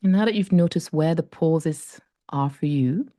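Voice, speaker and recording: Low, mellow woman guiding a meditation. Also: dry recording, studio quality. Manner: slow, soft and gentle, with long pauses. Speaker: meditation guide. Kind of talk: guided breathing meditation.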